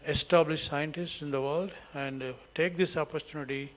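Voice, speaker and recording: A man giving a formal welcome address at a symposium, pausing often with fillers. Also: on the high side, fairly even intonation, a little hiss or hum. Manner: hesitant, many fillers. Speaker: senior scientist welcoming attendees. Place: symposium hall.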